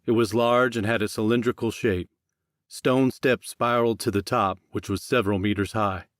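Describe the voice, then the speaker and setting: Male narrator, lowish pitch, scripted fiction narration, audiobook, dry close recording. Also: fairly even intonation, normal speed, no echo.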